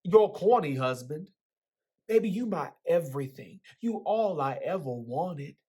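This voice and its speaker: A woman as a swaggering, husky teenage guy. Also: sits low, animated, measured pace, mellow, heavily acted. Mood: teasing. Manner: slangy, teasing, swaggering. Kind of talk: dramatic reading of a novel.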